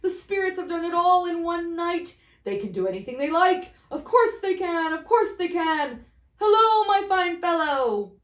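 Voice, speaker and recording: A woman's voice: extremely high pitch, lively intonation, moderate pace, reverberant, pitched above the performer's own voice. A woman voicing an overjoyed, reformed old male miser in an eager, effusive, exclamatory storybook manner. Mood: giddy, excited.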